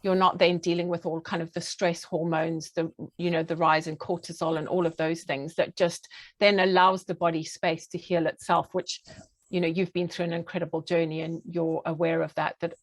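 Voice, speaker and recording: A woman's voice: fairly low pitch, little pitch movement, normal speed, dry recording. A woman from Zimbabwe with a southern African accent, speaking conversationally as an interview guest and health coach.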